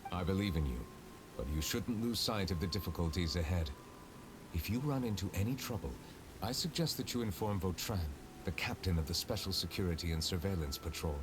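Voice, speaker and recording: Reserved male judge; very low, measured, formal. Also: expressive, noisy background. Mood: composed. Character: calm, reserved male chief justice. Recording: game music behind.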